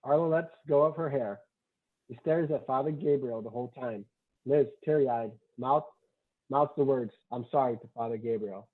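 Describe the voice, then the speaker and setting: A man's voice: medium pitch, moderate pace. Guy narrating script directions, matter of fact, thin tinny call audio, a bit muffled. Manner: matter-of-fact, clipped short sentences. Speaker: stage directions reader at a table read. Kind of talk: screenplay narration, read aloud.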